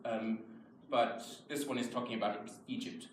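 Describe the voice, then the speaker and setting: A man's voice: mid-range voice, fairly even intonation, normal speed. A man at a live event, reading poetry aloud in translation. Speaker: translation reader. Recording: echoey room, faint noise.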